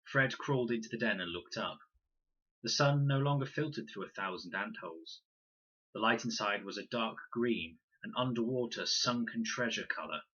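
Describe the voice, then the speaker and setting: A man reading a children's novel aloud as expressive, deliberate scripted narration. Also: on the low side, husky, highs cut off. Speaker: story reader, teacher.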